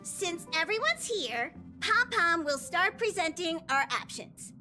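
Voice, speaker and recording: A woman's voice: extremely high pitch, animated, unhurried, over music. A woman voicing a tiny, cheerful creature who works as a train conductor, announcing in a chirpy way in game dialogue.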